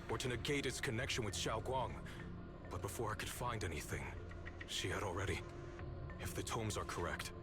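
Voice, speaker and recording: Deep, solemn swordsman, played by a man. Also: lightly acted. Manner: formal, measured, solemn. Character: grave, earnest older-brother swordsman with secret knowledge. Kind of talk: video game voice acting.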